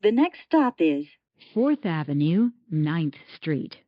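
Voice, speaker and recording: A woman's voice: deep voice, sing-song, slow pace, no echo. A woman reading scripted automated train announcements in clipped, segmented phrases, as a subway announcer. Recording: dull lo-fi transit announcement audio.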